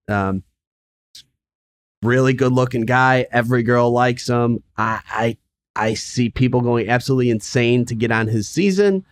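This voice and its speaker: An easygoing man co-hosts a podcast while stuffed up and nasal from a sinus infection. He talks in a relaxed way with some hesitation. The sound is close and dry. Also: mid-range voice, no echo. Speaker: podcast co-host. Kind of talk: casual podcast chat.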